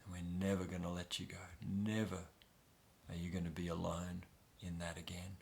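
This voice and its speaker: A man guiding a soft, soothing inner-child meditation with long pauses. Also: deep voice, level tone, vocal fry, a little hiss or hum. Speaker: meditation guide.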